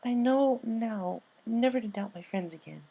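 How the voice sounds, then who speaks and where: A woman's voice: on the high side, normal speed, creaky, mellow, husky, pitched above the performer's own voice. A woman voicing a young female cartoon pony who is anxious and sheepish, hesitantly insisting that all is fine. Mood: reassuring, nervous. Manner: sheepish, hesitant, over-assuring.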